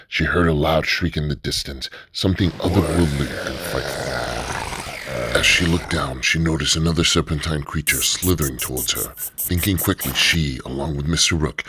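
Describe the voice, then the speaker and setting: A man narrating a radio drama in a steady, low storytelling delivery. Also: slightly rough, dry recording.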